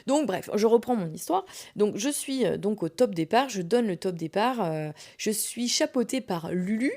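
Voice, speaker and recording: A woman hosting a podcast, telling a personal story in a casual, colloquial, animated and self-deprecating way. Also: medium pitch, moderate pace. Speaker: podcast host.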